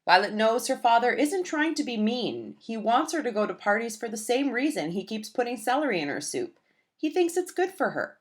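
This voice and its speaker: A woman reading a children's picture book aloud in a gentle, expressive storytelling manner. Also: on the high side, normal speed.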